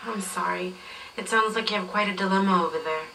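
A woman acting as a smooth-talking, pushy saleswoman in a workplace training drama. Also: medium pitch, a little fast, bright, forceful tone, slight background noise. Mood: sympathetic. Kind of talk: acted corporate training drama. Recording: distant, re-filmed off a TV screen.

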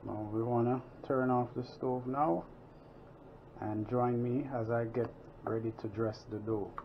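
A man's voice: mid-range voice, steady tone, measured pace, lo-fi sound, background noise. A man from Jamaica hosting a home cooking show, chatting casually and proudly as he makes a pizza in his kitchen.

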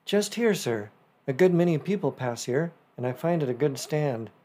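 A man's voice: a little high, expressive, a little mellow, voice pushed up. A man doing an eager teenage newsboy. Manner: eager, respectful, quick. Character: shrewd earnest 15-year-old newsboy, polite.

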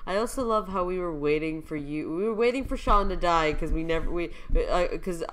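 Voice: mid-range voice, brisk, a bit bright. A playful, teasing speaker giving casual, joking reaction commentary and swearing freely. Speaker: comic dubber reading and reacting.

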